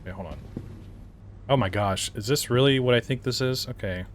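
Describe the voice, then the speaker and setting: A man gives casual gameplay commentary in a middle-pitched voice. He is laid-back and a little halting as he thinks aloud, with faint noise and game ambience behind him. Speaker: game reviewer and player.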